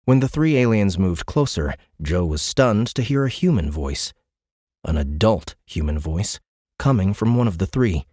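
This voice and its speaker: Low voiced guy narrating a scifi audiobook, dramatic storytelling, really dry studio sound. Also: lively intonation, moderate pace, darkish tone, no room sound. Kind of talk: dramatic scripted fiction narration.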